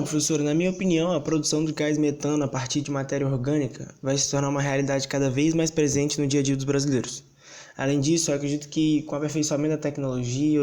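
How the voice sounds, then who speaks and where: Man acting as earnest male student, Brazilian accent, rapid, flat intonation, formal, amateur radio play. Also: on the high side, natural voice. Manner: formal, recited. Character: earnest male student giving his opinion. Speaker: student in class.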